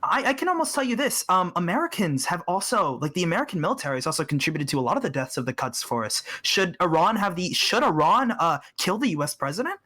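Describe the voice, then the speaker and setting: A man moderating a political debate with probing questions that halt and restart. His voice is bright and quick. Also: high-pitched, big pitch swings.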